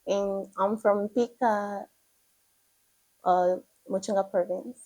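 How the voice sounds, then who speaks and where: Zambian woman, 19, pageant contestant, mellow voice, eager and encouraging. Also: medium pitch, steady tone, takes it slowly, poor audio. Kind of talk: prepared self-introduction to camera.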